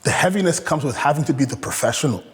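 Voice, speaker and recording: A man's voice: a little high, expressive, fast talker, breathy edge. A young man from Canada talking as an interview guest, reflective and hesitant, with many fillers and restarts. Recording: echoey room with long reverb.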